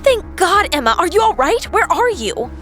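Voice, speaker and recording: A woman's voice: extremely high pitch, big pitch swings, moderate pace, a bit bright, faint music behind, heavily acted. A woman playing a worried, caring young friend in a romance audio drama. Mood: relieved, anxious.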